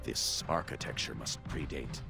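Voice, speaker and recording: A cold, calculating robot warrior from a video game. The voice is very low and gravelly, with measured, exact, formal phrasing and an air of command. Loud music plays along with it. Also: male voice, heavily acted. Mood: calm, analytical. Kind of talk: video game cutscene voice acting.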